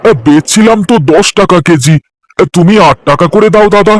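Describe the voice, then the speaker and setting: A man voicing an eager, defensive village vegetable seller in a cartoon, chatty and folksy with drawn-out hesitations. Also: sits high, a little hiss or hum, close to an everyday voice. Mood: coaxing. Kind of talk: cartoon voice acting.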